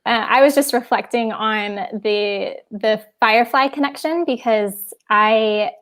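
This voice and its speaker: A woman from Hawaii, an educator, sharing a memory in a thoughtful, halting way during a casual chat on a video call. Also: a little high, a bit bright. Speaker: educator and event host.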